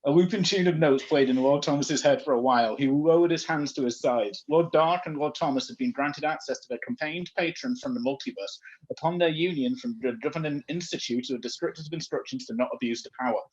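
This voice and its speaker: A man's voice: on the high side, fairly quick, husky, muffled recording. A man reading a comic story aloud live, playful and self-mocking, often breaking off into chatty asides. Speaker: comedy performer reading fan fiction aloud.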